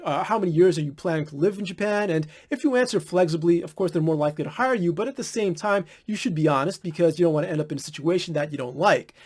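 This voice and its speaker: A young American man talks straight to the camera at a brisk clip, frank and unvarnished, with now and then a curse word. His voice sits a little high for a man. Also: a little mellow. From United States. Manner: blunt, candid, occasional swearing. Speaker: vlogger. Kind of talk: informal advice monologue.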